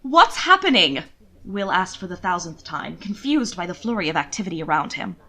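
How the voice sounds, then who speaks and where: A woman reading scripted fiction as an audiobook-style narrator, with expressive storytelling delivery. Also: fairly low pitch, fairly quick. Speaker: podfic narrator.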